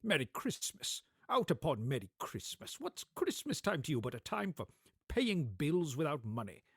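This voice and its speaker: A man's voice: a little high, wildly swooping pitch, moderate pace, breathy edge, no room sound, heavily acted. Grumpy old miser for radio drama, played by a man: grating, barking, scornful, very close dry sound. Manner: curt, barking, emphatic. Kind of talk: dramatic radio play reading.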